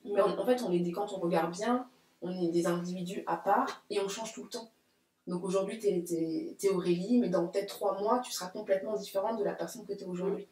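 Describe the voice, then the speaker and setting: A woman's voice: mid-range voice, brisk, warm tone. A woman in her late twenties, a guest in a casual discussion, who talks reflectively in long runs. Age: late 20s. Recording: roomy echo.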